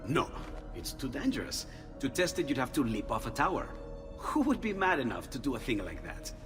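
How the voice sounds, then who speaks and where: An actor playing an excitable, eccentric Renaissance inventor in a video game, with an Italian accent and expressive delivery. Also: male voice, mid-range voice, fairly quick, lightly acted. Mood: hesitant, cautious. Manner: animated, expressive. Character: excitable, eccentric male Renaissance inventor, gentle and self-doubting. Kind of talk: video game cutscene acting. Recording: long reverb, loud background music.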